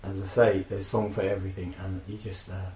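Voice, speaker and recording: Hoarse man reminiscing in an echoey room. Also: sits low, little pitch movement, brisk, darkish tone, slight background noise. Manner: rambling, reminiscing, with frequent laughs and fillers. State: hoarse from a throat infection. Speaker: vlogger. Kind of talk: casual personal tribute monologue.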